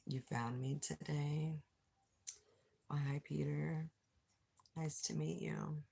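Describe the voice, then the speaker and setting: An older woman with a low, husky voice chatting casually with viewers on a phone livestream. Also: steady tone, slow pace, mellow. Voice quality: low, gravelly voice. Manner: chatty, reads and answers chat aloud. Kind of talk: casual live chat with viewers. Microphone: phone without external mic.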